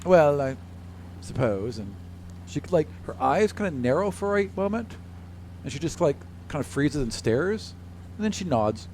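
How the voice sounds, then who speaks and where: A man's voice: a little high, big pitch swings, a little mellow, slight background noise. A man improvising narration as game master of a tabletop game, casual and joking. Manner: casual, joking, quick to laugh.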